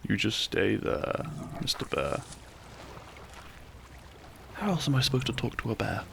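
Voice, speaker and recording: A man playing a cheeky, tender and protective young bandit outlaw in an intimate romantic audio roleplay, speaking in a gentle, courtly, teasing way. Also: lowish voice, very animated, a little slow, gravelly, slight background noise, exaggerated voice. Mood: tense, hushed caution.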